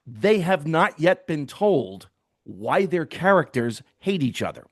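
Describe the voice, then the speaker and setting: A middle-aged man hosting a casual podcast, telling stories in a relaxed way and laughing often. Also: on the high side, slow pace. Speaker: podcast host. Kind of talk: casual chat.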